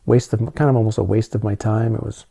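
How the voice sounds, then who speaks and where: A middle-aged man talking calmly and soothingly in a reflective monologue, often restarting his sentences. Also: lowish voice, quick pace, creaky, husky. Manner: calm, soothing delivery with frequent restarts. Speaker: spiritual talk host.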